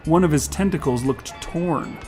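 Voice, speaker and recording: A man's voice: a little high, normal speed, mellow, soft background music. A man narrating scripted fiction as the storyteller of an audio tale.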